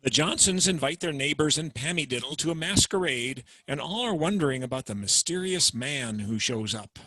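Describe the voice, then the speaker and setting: Casting: male narrator, bright and tense, dry close sound. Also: fairly high pitch, animated, moderate pace, no echo. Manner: clear, explanatory. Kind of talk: dramatic reading narration. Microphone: video call.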